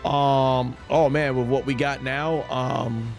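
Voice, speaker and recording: A man's voice: medium pitch, steady tone, slow, faint music behind. A man giving casual, rambling live-stream gaming commentary, thinking aloud as he goes. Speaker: live streamer and amateur voice actor.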